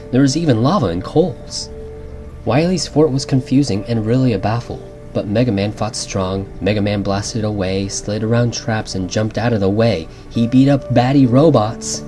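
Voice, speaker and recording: A man narrating a rhyming bedtime storybook as a storyteller, in an ASMR style. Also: fairly low pitch. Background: background music.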